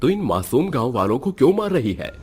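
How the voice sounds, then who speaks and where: A man's voice: mid-range voice, sing-song, brisk, darkish tone, a little hiss or hum, pitched above the performer's own voice, close to an everyday voice. A man voicing a stern, confident village holy man in a cartoon horror story, commanding and solemn.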